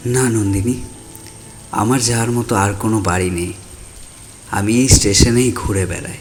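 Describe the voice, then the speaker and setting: A man playing a gentle, melancholy young man who is secretly a ghost, speaking calmly and wistfully in an audio drama. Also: lowish voice, normal speed, close to an everyday voice. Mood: wistful, resigned. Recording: music behind the voice.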